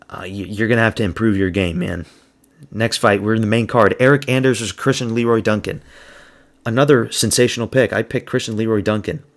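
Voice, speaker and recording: A man giving a blunt, crude, slang-heavy solo review of fight results. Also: fairly low pitch. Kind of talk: casual solo commentary.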